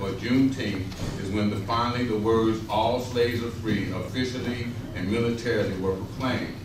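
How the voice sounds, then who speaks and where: A man reading scripted historical narration aloud as a narrator in a readers theater. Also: medium pitch. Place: stage rehearsal in a large echoing room. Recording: distant, echoey hall, clear noise.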